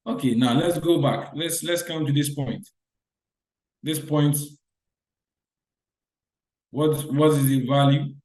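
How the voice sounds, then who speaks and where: A man's voice: fairly high pitch, fairly even intonation, slow pace, long pauses, a little mellow, echoey room, rough recording. A man teaching an online lecture who quizzes students with repeated short questions and restates his points. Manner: repetitive call-and-response questioning.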